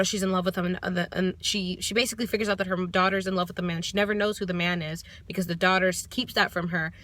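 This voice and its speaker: Bright-voiced young woman reviewing a book. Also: medium pitch, a little hiss or hum. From United States. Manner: thoughtful, hesitant, many ums and restarts. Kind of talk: casual spoken book review. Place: parked car.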